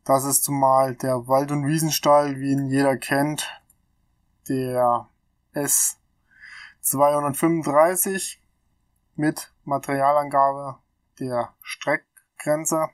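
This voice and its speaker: A man, a little blocked up from a cold, talking through workshop calculations in a relaxed, think-aloud way. He has a mid-pitched voice, recorded dry and close on a laptop in a small room. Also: slow, long pauses, no echo. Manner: chatty, thinks aloud with fillers. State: stuffy from a cold. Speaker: channel host. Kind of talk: casual technical walkthrough. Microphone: laptop webcam mic.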